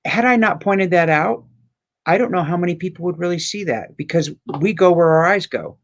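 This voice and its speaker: A man's voice: sits high, expressive, fairly quick. Earnest, reflective man talking motivationally. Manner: earnest, builds phrases through repetition. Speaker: inspirational author and speaker.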